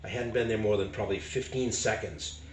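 An older man from Boston, a street preacher, tells his conversion story with animated gestures in a small living room. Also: fairly low pitch, a little fast. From Boston, United States. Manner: animated, acts out dialogue with hand gestures. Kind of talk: personal testimony storytelling. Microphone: camera a few feet away. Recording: roomy, distant and noisy home video.

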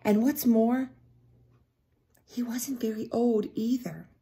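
A middle-aged woman reads a picture book aloud to children, deliberately, pausing to ask them questions. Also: a little high, steady tone, warm tone. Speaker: teacher reading aloud to children. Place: small indoor room at home.

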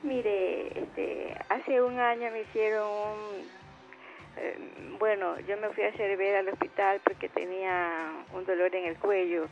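A woman's voice: medium pitch, steady tone, unhurried, faint music behind. A woman in her seventies calling in to a health show, telling her story in a rambling, polite way. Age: 70s. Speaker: caller. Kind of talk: phone-in question. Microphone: telephone. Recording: tinny phone line.

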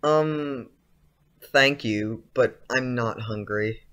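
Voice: mid-range voice, very slow, a bit bright. An actor playing a polite, skeptical, uneasy schoolboy in an audio play, speaking hesitantly. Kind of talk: audio drama acting.

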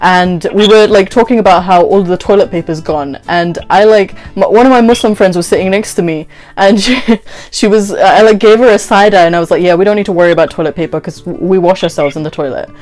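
A woman's voice: mid-range voice, fast talker, few pauses, soft background music. A woman in her twenties chatting casually and telling a story, acting out conversations as she goes. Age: 20s. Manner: animated retelling with quoted dialogue and frequent "like". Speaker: vlogger. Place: small bedroom, indoors.

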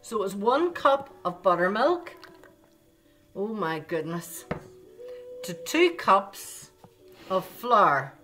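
A woman's voice: medium pitch, very animated, slow, pauses often, pushed and bright, faint music behind. An older woman, hosting a children's baking lesson in her home kitchen and talking straight to the young viewers with coaxing questions. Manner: chatty, talks directly to children, coaxing questions. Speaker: baking show host. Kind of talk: children's cooking demonstration.

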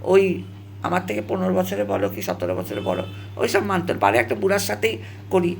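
An elderly woman in her seventies speaks with a gravelly creak and wide swings of pitch. She recounts her own past dramatically, pausing and repeating phrases for weight. There is faint noise behind her. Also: big pitch swings. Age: 70s. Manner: dramatic, halting, repeats phrases for emphasis, mixes in English words. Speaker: storyteller recalling own past. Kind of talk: emotional personal storytelling, casual monologue.

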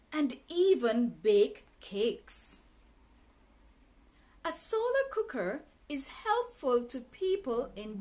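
A woman in her thirties reading an English textbook passage aloud in a careful, clearly enunciated teaching style, in a small bright room. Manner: careful, deliberate reading with expressive pitch. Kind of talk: slow, clearly enunciated read-aloud lesson.

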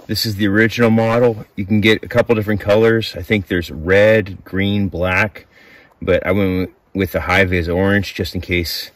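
A man's voice: low-pitched, no room sound. A middle-aged man giving a casual gear review to camera outdoors, laid-back and informal with enthusiastic slang. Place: outdoors in snowy woods.

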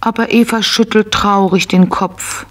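A woman's voice: mid-range voice, fairly even intonation, unhurried. A woman narrating a scripted children's story, telling it as if to small children.

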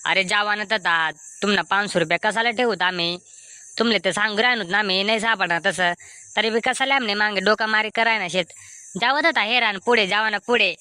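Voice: medium pitch, fairly quick, bright, forceful tone, clean tone, no room sound, natural voice. A performer voicing a blunt, irritable village man in a comedy cartoon, with a Khandeshi Ahirani accent. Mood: irritated denial.

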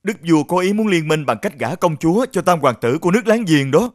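An actor playing a formal, obsequious royal officer reporting to rulers in an animated fairy tale. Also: male voice, on the high side, brisk, lightly acted. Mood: nervous, deferential.

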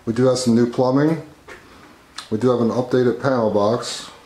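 A man's voice: mid-range voice, fairly even intonation, moderate pace, mellow. A man casually narrating a walk-through tour of a property as the agent showing it. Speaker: real estate agent giving a house tour. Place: empty rooms of a house under renovation. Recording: echoey empty house, clear background noise.

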